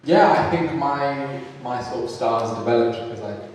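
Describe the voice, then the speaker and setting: A man's voice: medium pitch, normal speed, darkish tone. A man in his twenties from North London, a photography trainee speaking hesitantly and restarting his phrases. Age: 20s. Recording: echoey hall, distant mic, noisy.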